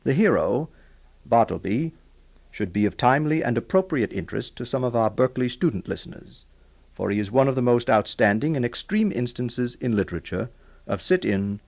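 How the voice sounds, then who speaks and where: A man's voice: medium pitch, vocal fry, darkish tone. A man reading a formal scripted introduction as a radio host.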